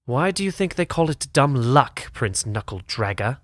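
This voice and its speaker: A man's voice: medium pitch, very animated, moderate pace, no room sound, studio quality, natural voice. A man playing a haughty, sneering aristocrat in a comic dub, speaking with articulate sarcasm. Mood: disdainful. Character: Prince Charming, haughty sneering dispossessed aristocrat. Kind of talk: amateur comic dub acting.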